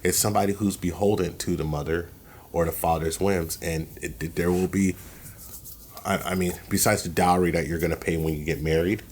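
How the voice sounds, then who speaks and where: Very deep-voiced man, emphatic podcast host. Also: fairly even intonation, brisk, a little mellow, slight background noise. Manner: emphatic, repeats phrases while building a point. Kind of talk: casual reaction chat.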